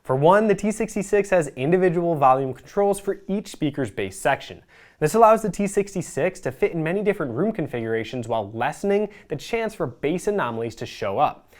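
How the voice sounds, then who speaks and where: A presenter reading a scripted product review in a measured, explanatory way. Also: mid-range voice.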